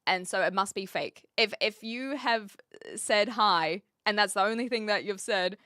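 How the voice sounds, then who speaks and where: A woman's voice: medium pitch, normal speed, pushed and bright, no echo, studio quality. A woman from New Zealand streaming live and chatting casually with viewers, rambling a little with restarts and fillers.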